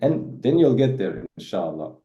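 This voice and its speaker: A man's voice: lowish voice, sing-song, moderate pace, warm tone, roomy sound, highs cut off. A man, answering interview questions casually and repeating words as he talks. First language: Danish. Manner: repeats words, says "bro" often. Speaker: guest, sales closer.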